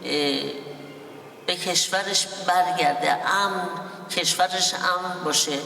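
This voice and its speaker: Man speaking real fast at a ceremony, bright highish voice, distant and echoey. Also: creaky. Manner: rapid, pausing for interpretation. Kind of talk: formal speech. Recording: echoey hall from far off.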